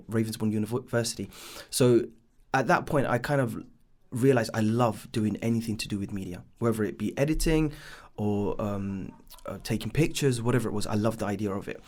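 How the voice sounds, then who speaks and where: Low-voiced London man telling his story. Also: darkish tone. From born in Bangladesh, raised in East London. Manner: rambling, leans on "should I say" and "um". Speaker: business founder guest. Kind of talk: casual podcast storytelling.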